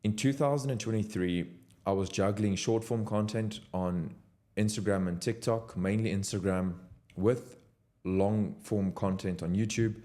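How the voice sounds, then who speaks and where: Deep-voiced man vlogging. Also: steady tone, measured pace, dark tone. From South Africa. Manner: earnest, motivational, direct to camera. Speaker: content creator. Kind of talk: unscripted talking-head vlog. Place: home office at his desk.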